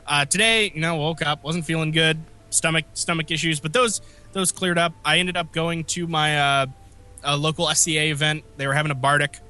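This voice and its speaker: A man co-hosting a casual podcast, telling rambling stories with a slightly phlegmy, raspy voice. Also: fairly high pitch, fairly even intonation, fairly quick, sharp tone, soft background music. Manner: rambling, self-interrupting storyteller. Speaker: podcast co-host. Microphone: remote call mic.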